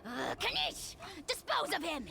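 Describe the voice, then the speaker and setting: A woman's voice: very high voice, wildly swooping pitch, unhurried, creaky, a crowd in the background, full character voice. A woman voicing a boastful tiny dragon lord in a video game, pompous, scornful and bombastic. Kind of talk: video game character acting.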